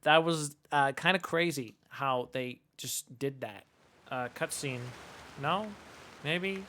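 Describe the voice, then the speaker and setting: A man's voice: medium pitch, slow, sharp tone. A man streaming a video game with casual live commentary, flippant and rambling.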